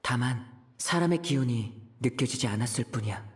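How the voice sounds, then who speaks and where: A man playing a serious, thoughtful young traveler, reasoning aloud in a measured way in a video game. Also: mid-range voice, animated, close to an everyday voice. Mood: reflective. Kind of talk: video game inner deduction monologue.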